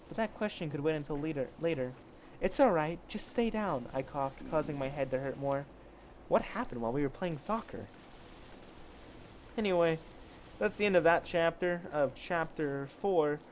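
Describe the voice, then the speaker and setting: A man's voice: a little high, normal speed, slight background noise. A man casually reading fan fiction aloud as an amateur story reader, stumbling over the text and correcting himself.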